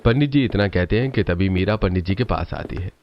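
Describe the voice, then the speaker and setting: A man's voice: sits low, brisk. A man narrating a scripted cartoon story. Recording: muffled audio over loud music.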